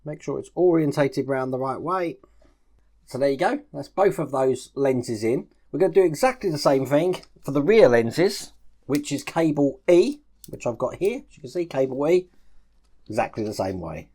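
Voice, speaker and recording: A man's voice: mid-range voice, lively intonation, normal speed, dark tone, breathy edge. A man chatting casually through a step-by-step build tutorial, explaining each move as he works. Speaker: host of a model-building channel.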